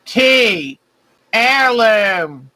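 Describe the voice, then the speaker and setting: Woman host with a big, bright voice, calling each game clue twice at an unhurried pace. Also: on the high side, lively intonation, rough recording. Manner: calls each clue twice, slow and deliberate.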